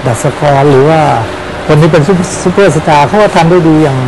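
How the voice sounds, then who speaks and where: A man in his sixties, a veteran football coach, talking animatedly in an outdoor interview beside a pitch with a lapel mic. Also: fast talker, noisy background. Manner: animated, exclaims often.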